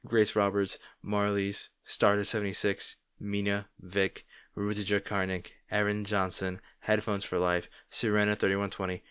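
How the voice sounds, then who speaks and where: A man with a low voice speaks as a channel host in a casual outro, reading names from a list. The recording is very dry, with little room sound. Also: monotone, moderate pace, hardly any pauses, fairly bright tone, smooth, dry recording. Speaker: audio roleplay channel host.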